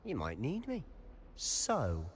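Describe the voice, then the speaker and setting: An actor playing a sly, friendly young student in a video game, casual and self-assured. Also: male voice, mid-range voice, huge pitch swings, slow, slight background noise. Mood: curious, conspiratorial. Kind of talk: video game character acting. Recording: long reverb, faint ambience.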